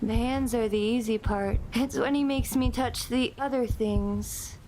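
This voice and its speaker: An insolent possessed teen girl acted by a woman talks in a high voice. She belongs in a cheap horror film, and the audio carries a faint noise. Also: unhurried, warm tone, close to an everyday voice, low-quality recording. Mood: taunting. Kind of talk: low-budget horror film acting.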